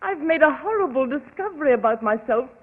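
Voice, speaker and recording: An actress playing an anxious wife confessing to a doctor, speaking in hesitant, halting bursts in 1940s radio style. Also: female voice, sits high, expressive. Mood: troubled. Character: anxious young wife fearing her own urges. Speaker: patient. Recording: tinny old radio broadcast with hiss.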